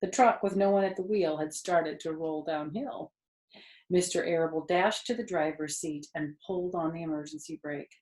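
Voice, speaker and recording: A woman's voice: sits low, moderate pace. Woman reading a storybook in an echoey room. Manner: expressive, conversational asides to listeners. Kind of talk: children's storybook read-aloud. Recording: roomy echo.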